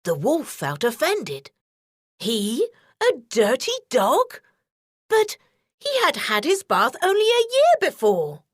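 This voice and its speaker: A woman narrating a scripted children's story in an expressive, deliberate way. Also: high-pitched, dry recording, studio quality.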